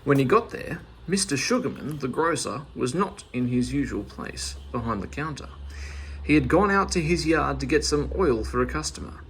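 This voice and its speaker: A man narrating a children's story aloud in an expressive storyteller's manner. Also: medium pitch, normal speed, a little hiss or hum.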